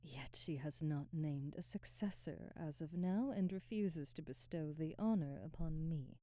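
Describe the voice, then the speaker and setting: A woman playing a proud, ambitious vampire aristocrat in an intimate ASMR roleplay, speaking softly and breathily with measured, formal diction. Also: low-pitched, no echo, clean studio sound. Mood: proud, resentful. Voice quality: soft, breathy, whispery. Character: proud, ambitious female vampire aristocrat, remorseful, domineering captor.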